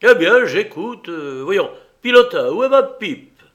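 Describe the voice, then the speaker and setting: A fearless old sea captain from an adventure storybook, acted out by a man. He blusters and barks commands, loud and pitched up, with a short temper in every phrase. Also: fairly even intonation, normal speed, lightly acted. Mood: exasperated, blustering. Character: gruff, hot-tempered old sea-dog ship's captain, fearless, bellowing. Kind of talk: audiobook character voice.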